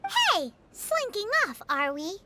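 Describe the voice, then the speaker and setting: A woman voicing a tiny, cheeky, excitable fairy companion in a video game, squeaky, childlike and blunt. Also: takes it slowly, very noisy, exaggerated voice. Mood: accusing. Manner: speaks of herself in third person, blunt.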